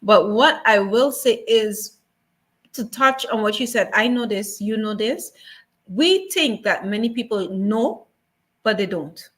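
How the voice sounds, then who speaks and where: A woman's voice: medium pitch, measured pace, fairly bright tone. A woman talks as a guest on a discussion show. She is earnest and circles back to her points, saying them again for emphasis. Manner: earnest, repeats phrases for emphasis. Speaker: museum guest. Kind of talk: casual talk show discussion.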